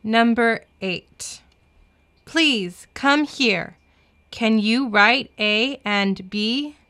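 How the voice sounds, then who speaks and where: Bright, clear woman reading lesson dialogues. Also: on the high side, extremely slow. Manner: carefully enunciated. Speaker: English dialogue reader in a children's lesson. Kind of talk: slow scripted language-lesson reading.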